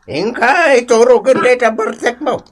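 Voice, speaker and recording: A man's voice: very high-pitched, unhurried. An actor playing a grumbling, sarcastic man who scolds and taunts bluntly in a radio comedy, with an Ilocano accent. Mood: scolding.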